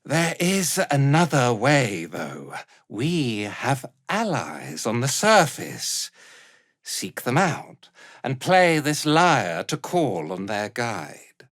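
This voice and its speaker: A man's voice: mid-range voice, wildly swooping pitch, takes it slowly, a bit bright, dry recording, exaggerated voice. A man playing a haughty, cruel drow cult commander in a fantasy video game, speaking in an imperious, menacing, theatrical way. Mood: cold, measured. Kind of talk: dramatic fantasy game voice acting.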